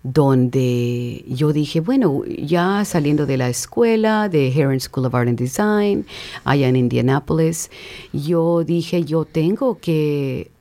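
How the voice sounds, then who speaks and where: Woman, very deep voice, dark mellow tone, artist guest, halting with fillers. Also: lively intonation. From southern Texas, on the Mexican border. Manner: thoughtful, many fillers and restarts, slips into English. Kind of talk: conversational interview answers.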